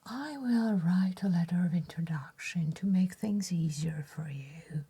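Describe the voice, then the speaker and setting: A woman playing a shy, courteous village writer in a soft-spoken ASMR roleplay, hesitant and gentle, with a breathy whisper. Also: fairly low pitch, measured pace, a little hiss or hum. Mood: helpful, gentle. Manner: hesitant, gentle, politely formal.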